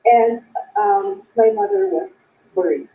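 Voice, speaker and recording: A woman's voice: a little high, very animated, takes it slowly. A young Filipino woman telling her family story in a presentation, slipping Tagalog and Bisaya words into her English. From Philippines. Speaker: business recruiter sharing her story. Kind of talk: personal testimony presentation. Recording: echoey, distant, phone-like sound.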